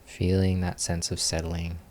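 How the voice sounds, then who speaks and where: A man leading a guided meditation as a teacher, speaking calmly and soothingly with long pauses. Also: low voice.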